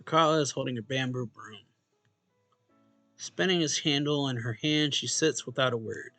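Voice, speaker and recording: A man's voice: mid-range voice, normal speed, pushed and bright, no room sound. A man reading a visual novel's narration and dialogue aloud expressively, as a let's play host. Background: game music.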